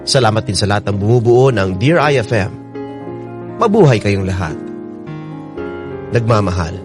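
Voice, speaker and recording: A man's voice: lowish voice, very animated, normal speed, over music. An actor playing a contented young husband with a Filipino accent, narrating his love story for a radio drama. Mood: contented, grateful.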